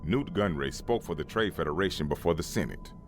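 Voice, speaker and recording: A man's voice: low-pitched, lively intonation, brisk, music in the background. A man playing a grave Jedi elder, solemnly narrating war history in a dramatic comic dub. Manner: solemn storytelling.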